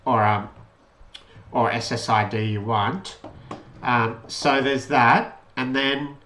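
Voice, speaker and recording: A man's voice: medium pitch, a bit bright. A man giving an informal, unscripted tutorial walkthrough of an electronics project, explaining step by step and rephrasing as he goes. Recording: roomy, echoey voice pickup.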